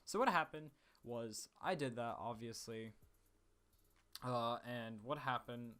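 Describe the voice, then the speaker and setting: A teenage boy from the Czech Republic recounts a school story in a loose, rambling way. His voice sits at a middle pitch, and he keeps circling back with fillers and half-finished restarts, like a casual gaming storyteller at his desk mic. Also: slow pace, a bit bright, clear, resonant tone. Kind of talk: casual story time over gameplay.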